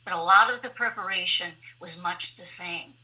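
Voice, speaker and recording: A woman giving deliberate, explanatory interview answers as a theatre director on a video call, thinking aloud in short phrases. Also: on the low side, pushed and bright, rough recording.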